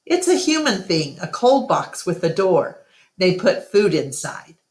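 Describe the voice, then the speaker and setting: A woman's voice: fairly low pitch, very animated, unhurried, a little mellow, echoey room. A woman voicing a patient, easygoing adult male gorilla in a storybook, with a hesitant, explaining manner.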